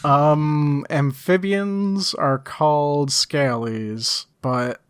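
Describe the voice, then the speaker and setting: A man's voice: fairly high pitch, extremely slow, clean tone, no room sound. A man co-hosting a podcast, chatting casually with dry, understated humour. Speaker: podcast co-host. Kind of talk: casual comedic chat.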